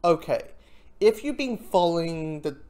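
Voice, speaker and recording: A reviewer talking casually and off the cuff, in a hesitant, halting way with frequent false starts. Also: medium pitch, animated, a little mellow.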